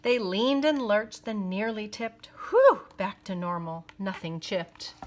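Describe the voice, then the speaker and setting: A woman reading a children's picture book aloud in an expressive, deliberate storytelling manner. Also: mid-range voice, slight background noise.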